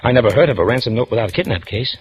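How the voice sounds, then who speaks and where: A man's voice: mid-range voice, animated, very fast, a little hiss or hum, close to an everyday voice, low-quality recording. An actor playing a wisecracking, flirtatious police lieutenant in a 1940s radio drama, folksy and chuckling as he talks. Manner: amused, chuckling, folksy and forward.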